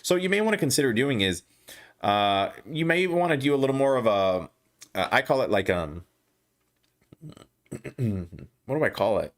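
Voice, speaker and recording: Hoarse man chatting on a stock stream. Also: mid-range voice, expressive. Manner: halting, many restarts and fillers. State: losing his voice, clearing throat. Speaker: stock livestream host. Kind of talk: casual livestream chat reading viewer comments.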